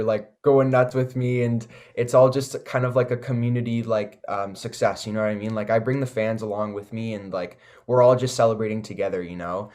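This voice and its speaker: A man's voice: fairly low pitch, a little mellow. A young man with a Canadian accent chatting casually and earnestly as an interview guest, leaning on "like" and "you know". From Toronto, Canada. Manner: earnest, filler-heavy with frequent "like" and "you know". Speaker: recording artist, interview guest.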